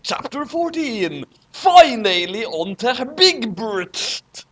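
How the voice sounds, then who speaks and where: Lively-pitched man chatting on a call. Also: slow, crowd noise. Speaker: co-reader. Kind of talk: casual chat and reading aloud. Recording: online group call.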